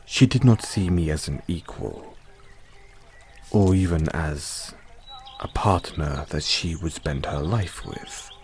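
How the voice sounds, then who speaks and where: A man's voice: rumbling low, slow, a little mellow, slight background noise, heavily acted. A man playing a devoted, formal butler with a painful past, speaking solemnly and deferentially in a scripted audio roleplay. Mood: solemn, restrained.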